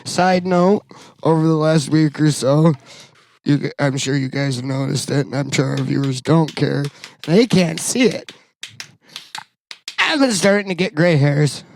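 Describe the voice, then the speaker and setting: A man's voice: on the high side, measured pace, creaky, no echo. A man in his thirties co-hosting a podcast, chatting casually in a rambling, self-deprecating way and laughing at his own jokes. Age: 30s. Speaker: podcast co-host. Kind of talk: casual chat.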